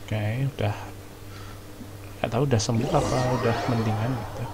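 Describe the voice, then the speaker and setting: A man's voice: medium pitch, steady tone, fast, background noise. A man with an Indonesian accent giving casual let's play commentary on a video game. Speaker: gaming video creator.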